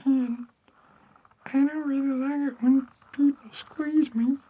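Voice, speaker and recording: Very high falsetto puppet frog. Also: male voice, steady tone, lo-fi sound. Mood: innocent, plaintive. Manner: simple, childlike, halting. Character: small, innocent talking Muppet-like frog.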